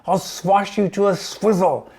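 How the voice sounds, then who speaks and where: A man's voice: high voice, measured pace, gravelly, a little mellow, pitched above the performer's own voice. Man doing a shrieking, furious old woman. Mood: menacing, furious. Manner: screeching, savagely threatening.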